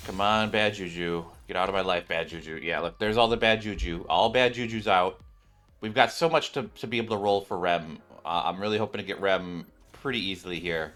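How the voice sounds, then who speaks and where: A man with a fairly low voice gives casual gameplay commentary as a channel host. He is excitable and repetitive in his phrasing, and music plays behind him. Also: a little fast. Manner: excitable, exclamatory, repeats phrases.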